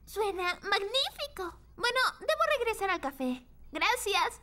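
A woman voicing a sweet, upbeat little girl in a cartoon, bubbly, expressive and polite. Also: extremely high pitch, measured pace, full character voice. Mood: excited. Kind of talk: animated cartoon dub acting. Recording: loud music behind the voice.

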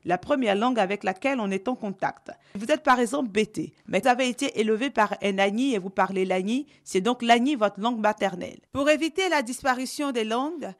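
A woman reporter reading a scripted voice-over for a TV news magazine, measured and clear. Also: on the high side.

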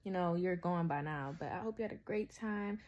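A woman's voice: on the low side, a little fast, a little mellow. A woman speaks in a mid-range voice, recording a birthday message for a younger relative. She gushes with affection and repeats her words for emphasis. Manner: affectionate, gushing.

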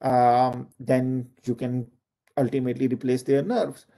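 A man's voice: medium pitch, level tone, a little slow, vocal fry, mellow. A middle-aged man from Pakistan giving an online lecture from home over a video call, chatty and full of "like, you know" fillers. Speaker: university lecturer.

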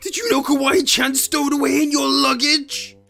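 A man voicing a vain, earnest, theatrical young man with a husky voice in an animated roleplay. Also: very high voice, moderate pace, full character voice. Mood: stern, worried. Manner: melodramatic, self-admiring. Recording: background music under dialogue.

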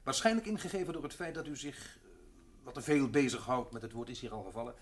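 An actor playing a cold, formal president in an old radio play, speaking sternly and officially. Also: male voice, mid-range voice, lively intonation, fast talker, lightly acted. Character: cold, formal head of state passing judgment. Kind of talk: 1960s radio play acting.

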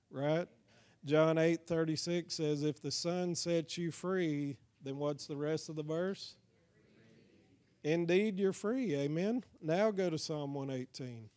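This is a Texan man with a soft, warm-edged tone that sits slightly high for a male voice. He preaches like an evangelist leading a training session from the pulpit. His delivery is plain-spoken and folksy, and he keeps inviting the congregation to agree. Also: clear, resonant tone. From Texas, United States. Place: church, speaking to a congregation.

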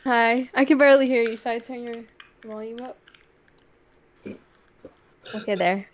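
Giggly nineteen-year-old woman, higher voice. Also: unhurried. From Southern California. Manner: friendly, giggly, uses like often. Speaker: streamer on a video call. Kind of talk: casual dating chat. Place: bedroom streaming setup. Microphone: studio mic on boom arm.

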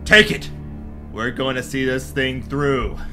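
A man's voice: mid-range voice, fairly even intonation, takes it slowly, pushed and bright, faint music behind. A man playing a tough, swaggering pulp adventurer with hard-boiled delivery in a radio serial parody. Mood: taunting, commanding.

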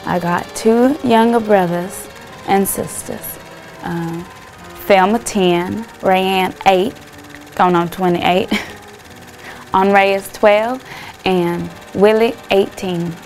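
Slow, creaky young woman. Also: mid-range voice, fairly even intonation, a little mellow, soft background music, poor audio. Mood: fond, proud. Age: 20s. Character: nervous, proud young 1940s big sister. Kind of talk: film monologue as 1940s interview.

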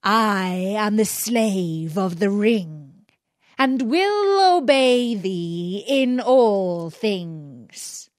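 A woman voicing an enormous frightful genie, a solemn slave of the ring, speaking in a slow, drawn-out archaic manner for a storybook. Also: medium pitch, lively intonation, no echo, studio quality. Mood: solemn, menacing.

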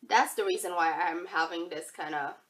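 Young female beauty vlogger, husky cold-roughened voice, upbeat, rambling delivery, recorded on a camera close by. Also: fairly low pitch, moderate pace. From Indonesia. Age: young adult. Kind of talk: casual product review vlog. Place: bedroom, indoors. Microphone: camera facing her at arm's length.

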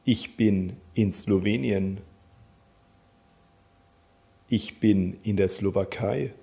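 A man teaching a German lesson, calmly naming countries and repeating example sentences for learners to follow. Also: lowish voice, very slow, vocal fry, husky. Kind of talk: slow, clear teaching with drill repetitions.

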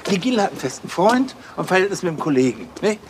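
An actor playing an earnest, touchy police officer who speaks haltingly and insistently in an audio drama. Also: male voice, high voice, very animated, noisy background. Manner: halting, insistent, repeats himself.

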